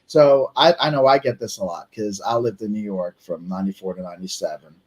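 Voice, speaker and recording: A man's voice: fairly low pitch, a little fast, breathy edge, low-quality recording. A man from Barbados hosting a radio interview show, chatty and easygoing, asking questions. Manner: easygoing, chatty, laughs along. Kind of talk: casual interview.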